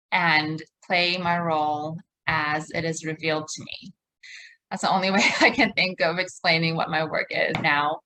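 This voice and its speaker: A woman from Malaysia, a podcast guest, telling her story slowly and thoughtfully with pauses and fillers. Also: on the low side, a bit bright, poor audio. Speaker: guest, co-creator of a womb garden. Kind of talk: podcast interview, reflective storytelling.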